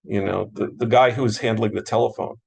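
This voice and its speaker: A man's voice: on the low side, steady tone, a little fast, creaky, darkish tone, slightly rough. An American man hosting a casual interview, chatting with eager enthusiasm and agreeing readily. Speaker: interviewer, moderator. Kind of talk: casual interview chat about classic radio.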